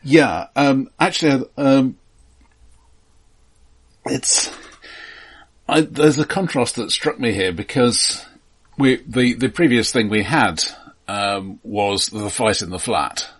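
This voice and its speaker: A man casually recapping a film as a podcast co-host, halting and wry. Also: medium pitch, breathy edge.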